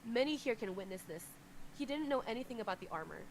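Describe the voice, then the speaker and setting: Fiercely loyal young male servant, read aloud by a woman who talks himself up to loud, desperate cries. His voice sits higher and louder than her own narration. A steady wash of noise runs under the recording. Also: quick pace. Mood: insistent. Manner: pleading, rising to desperate cries. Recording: clear background noise.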